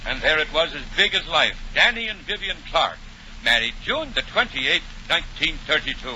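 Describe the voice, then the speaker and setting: High-pitched, needling cop. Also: male voice, sing-song, normal speed, bright, forceful tone, heavily acted. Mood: self-assured. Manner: teasing, chuckling banter. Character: jovial, needling, shrewd male police detective. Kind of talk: 1940s radio comedy acting. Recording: muffled, noisy 1940 radio broadcast.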